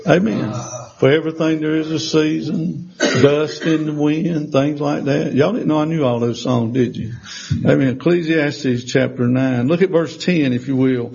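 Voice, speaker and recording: A man's voice: on the high side, moderate pace, gravelly, darkish tone, breathy edge. A man in his sixties preaching a folksy sermon in a church, with emphatic rises and call-and-response. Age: 60s. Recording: dull lo-fi sermon recording.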